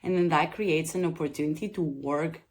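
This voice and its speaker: Lady coaching on her channel, kinda low voice, earnest and reassuring, stretches words for emphasis. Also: normal speed. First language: Greek. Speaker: psychedelic preparation coach and channel host. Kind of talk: talking to camera, explanatory vlog.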